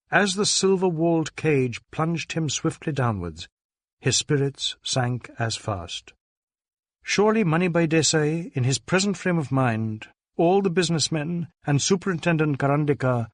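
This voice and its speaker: A man reading a novel aloud as scripted narration, in a measured, formal storytelling manner. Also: fairly high pitch, no room sound, clean studio sound. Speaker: audiobook narrator.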